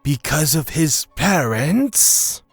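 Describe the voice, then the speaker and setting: Slow, dry-recorded, sceptical god played by a man. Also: fairly high pitch, very animated, creaky, breathy edge, no room sound, faint music behind, heavily acted. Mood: pondering. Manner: formal, pondering. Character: sceptical, weighty male god of courage. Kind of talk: scripted audio fiction acting.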